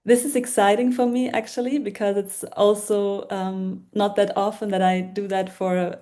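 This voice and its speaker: A woman with a German accent talks as a guest in an online workshop, her mellow, soft-edged voice coming over a video call. She speaks hesitantly, restarting her phrases and searching for words in a language that is not her first. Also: medium pitch, flat delivery, normal speed. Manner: hesitant, with many restarts and fillers. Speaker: workshop guest, decluttering coach.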